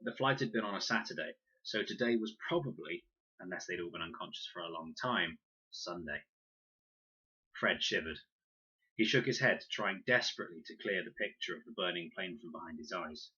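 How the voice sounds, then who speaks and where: Male storyteller, lowish bright voice, husky, expressive narration, dull lo-fi sound. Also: moderate pace. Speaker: reader, narrator. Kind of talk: expressive children's audiobook narration.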